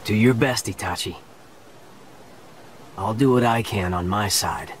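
A man's voice: fairly low pitch, unhurried, slight background noise, lightly acted. An actor playing an easygoing, teasing yet resolute young male ninja in an anime dub, casual and earnest. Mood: friendly.

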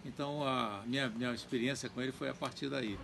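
An older man reminiscing haltingly about an old friend, seated outdoors. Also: medium pitch, gravelly, noisy background. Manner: halting, repeating words as he recalls. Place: outdoor garden.

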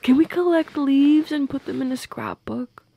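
A young woman in an intimate girlfriend audio roleplay, speaking softly and close to the mic with playful, teasing affection. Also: sits high, normal speed, creaky, dull audio. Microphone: close microphone, dry.

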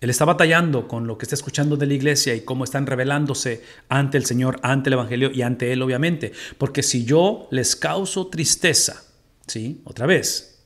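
A man's voice: medium pitch, moderate pace. A middle-aged Mexican man with a Mexican Spanish accent, teaching a Bible study from a home room and putting rhetorical questions to his listeners. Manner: animated, gestures while teaching, asks the audience rhetorical questions.

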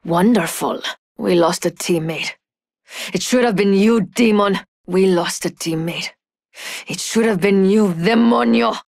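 A woman's voice: mid-range voice, unhurried, vocal fry, darkish tone, dry recording, clean studio sound. A woman voicing a proud, vengeful young thief in a video game, with a cutting, disdainful manner. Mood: scornful, bitter. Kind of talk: video game character voice lines.

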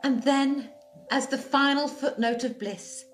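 A woman's voice: sits high, fairly even intonation, measured pace, soft background music. A middle-aged woman playing a fairy godmother who narrates a comic pantomime like a storybook. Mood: playful. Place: living room at home. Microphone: video call. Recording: roomy video-call sound.

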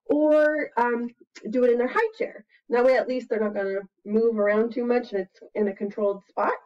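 Playful American female preschool teacher, late 30s or 40s, higher-pitched, casual instruction, dull webcam audio. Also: moderate pace. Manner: playful, expressive, with exclamations and sound words. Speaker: preschool teacher giving an art activity demonstration. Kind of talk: casual instructional chat to camera. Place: small plain room at home, indoors. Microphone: computer webcam mic at about arm's length.

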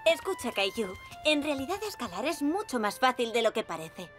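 An actress playing an upbeat, encouraging young climbing instructor in a cartoon, with a Castilian Spanish accent and a cheerful, reassuring way with small children. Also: female voice, on the high side, big pitch swings, normal speed. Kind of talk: animated dub dialogue. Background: music.

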